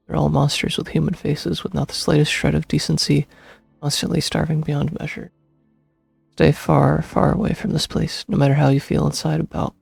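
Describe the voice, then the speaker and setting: A young man streaming a game, reading its text aloud and commenting casually, with a creaky, husky voice. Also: on the high side, no room sound. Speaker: gaming streamer. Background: soft game music.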